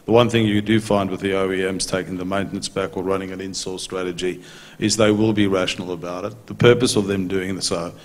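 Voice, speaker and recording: Low, flat-voiced businessman in a hall. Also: fairly quick. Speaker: maintenance company executive on a panel. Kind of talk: conference panel answer. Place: large conference hall stage. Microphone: handheld mic. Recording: long hall reverb.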